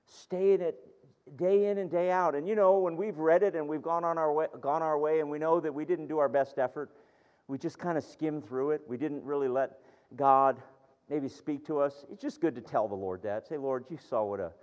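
A man's voice: a little high, steady tone, normal speed, darkish tone, big-room sound. A man preaching a sermon in an earnest, conversational way, often restarting his sentences.

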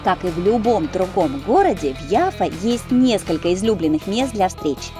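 A woman narrating a travel video as its host, in an expressive, storytelling voice-over. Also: mid-range voice, moderate pace. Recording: voice-over with music behind.

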